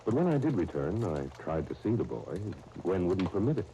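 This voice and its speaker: A very deep, thoughtful gentleman. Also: very animated, a little fast. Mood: concerned. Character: mature, thoughtful gentleman, a concerned uncle. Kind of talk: 1930s radio soap opera acting. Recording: noisy 1939 radio disc recording.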